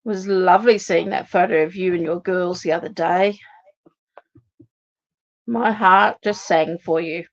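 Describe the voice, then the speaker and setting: A woman's voice: fairly low pitch, steady tone, unhurried, long pauses, highs cut off. An Australian woman hosting a live card-making demonstration, chatting and thinking aloud to viewers as she works, her voice a little worn. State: slightly losing her voice. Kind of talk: casual live crafting demonstration.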